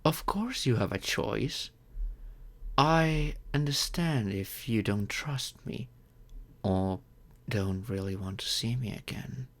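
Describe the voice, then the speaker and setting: A man playing a courteous, gentle, wealthy old vampire in an audio roleplay, speaking reassuringly and earnestly to the listener. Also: lowish voice. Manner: gentle, reassuring, unhurried with thoughtful pauses.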